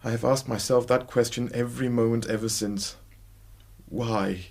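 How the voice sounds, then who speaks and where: An actor playing an ashamed, honourable young male student with an English accent, halting and subdued, in a radio drama. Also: mid-range voice, fairly even intonation, vocal fry, a little mellow. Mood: anguished, ashamed. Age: young adult.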